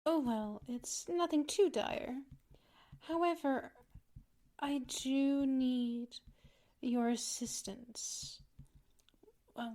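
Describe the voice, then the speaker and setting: A woman's voice: a little high, a little mellow. A woman playing a gracious, ambitious, secretive duchess in an ASMR roleplay, with a refined, courtly way of speaking. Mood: guarded.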